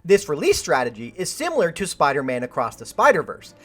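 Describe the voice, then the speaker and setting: A woman's voice: sits low, huge pitch swings, a little fast, over music. A woman with a Canadian accent hosting a scripted film video essay, talking playfully and directly to the viewer. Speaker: video host and film commentator. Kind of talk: scripted video essay narration with chatty asides.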